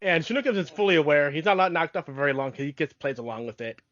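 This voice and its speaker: A man with a notably high voice co-hosts a casual cartoon discussion. The recording is very dry and close, though slightly dull and lo-fi. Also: lively intonation, fast talker, a bit bright, no echo. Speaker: podcast co-host.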